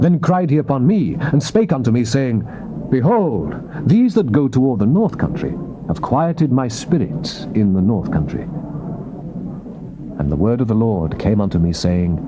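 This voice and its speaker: Solemn, declamatory Old Testament prophet. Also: male voice, medium pitch, big pitch swings, measured pace, highs cut off. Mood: solemn, proclaiming. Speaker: Bible narrator. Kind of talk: dramatized scripture reading, theatrical. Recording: noisy old cassette tape transfer.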